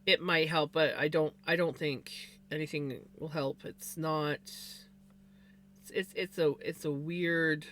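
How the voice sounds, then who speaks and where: Chatty streamer with a bright, forceful voice, rambling on live with a faint hum underneath. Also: medium pitch, fairly even intonation, slow pace. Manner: rambling and chatty, many fillers and restarts. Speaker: livestreamer. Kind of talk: casual chat on a live stream.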